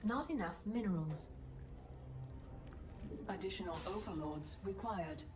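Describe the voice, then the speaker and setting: A woman's voice: medium pitch, unhurried, noisy background, close to an everyday voice. Impassive, echoing female lab computer, acted. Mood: neutral, instructive. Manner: even, formal, instructive. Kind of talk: scripted announcements and game tutorial. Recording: echoey, distant, music behind.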